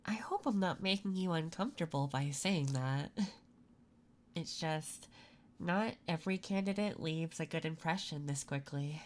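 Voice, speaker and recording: A soft-spoken woman performing an intimate ASMR roleplay as an interviewer, talking gently and a little flirtatiously with pauses between phrases. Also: low-pitched. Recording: clear background noise. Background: computer keyboard typing and clicks.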